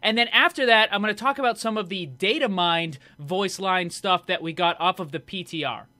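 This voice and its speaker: A man's voice: high voice, normal speed, bright, forceful tone. A man hosting a gaming video, giving casual, informal and chatty news commentary. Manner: informal, chatty, frequent "like" and "you know". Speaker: YouTube gaming host.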